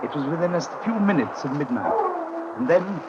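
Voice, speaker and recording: A man acts as an uneasy, earnest gentleman traveller telling his tale in deliberate, literary phrases. The style is vintage 1930s radio drama. The sound is muffled, with clear noise throughout. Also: on the high side, animated, natural voice. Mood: tense foreboding.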